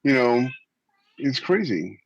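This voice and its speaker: A man's voice: mid-range voice, big pitch swings, slow, breathy edge. An American man co-hosting a podcast over an online call, chatting casually and telling anecdotes. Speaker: podcast co-host. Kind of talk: casual panel discussion. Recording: dry, slightly dull audio.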